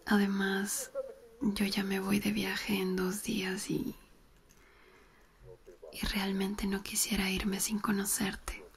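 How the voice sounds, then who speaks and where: A woman's voice: medium pitch, little pitch movement. A woman whispering as a flirty cousin. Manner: whispering close and slowly. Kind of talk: ASMR whispered roleplay. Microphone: close binaural mic.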